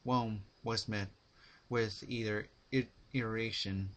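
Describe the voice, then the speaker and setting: A man giving a dramatic scripted story narration, phrased deliberately in short, halting chunks. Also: fairly low pitch, steady tone, fairly bright tone.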